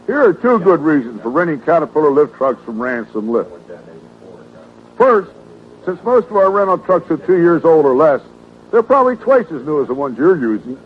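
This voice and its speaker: A male ad announcer with a fairly high, lively voice delivers a persuasive scripted pitch. The recording is a dull vintage radio sound. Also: normal speed. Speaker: commercial announcer. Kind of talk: scripted advertising narration.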